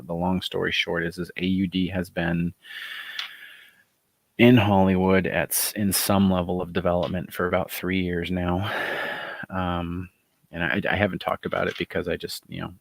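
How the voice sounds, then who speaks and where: A middle-aged man with a soft, gravelly voice, chatting casually and rambling on a live stream while showing handmade dice. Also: low voice, dry recording. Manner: relaxed, rambling, many fillers. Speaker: author hosting a live chat.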